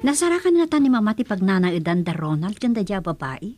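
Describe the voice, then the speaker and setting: A woman's voice: medium pitch, animated, fairly quick, a little mellow, soft background music, close to an everyday voice. A woman playing a dutiful, anxious young daughter in a radio drama, with an Ilocano Filipino accent. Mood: worried.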